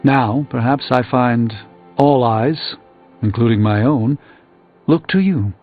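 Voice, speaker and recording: An actor playing a proud, weary middle-aged sorcerer in a video game, speaking in measured, erudite, dryly wry phrases. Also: male voice, mid-range voice. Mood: reflective, humbled. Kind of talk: theatrical video game character dialogue. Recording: muffled game audio under music.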